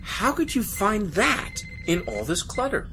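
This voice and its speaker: A woman's voice: mid-range voice, big pitch swings, unhurried, sharp tone, soft background music, full character voice. A woman acting as an inquisitive senior starship officer in a science fiction audio drama, with a questioning manner.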